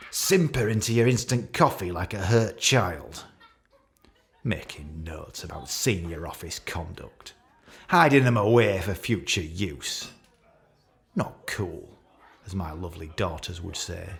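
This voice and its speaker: Casting: middle-aged British senior policeman for radio play; pompous, self-justifying, very animated pitch swings. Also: gravelly, heavily acted. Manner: pompous, passive-aggressive, self-justifying, halting.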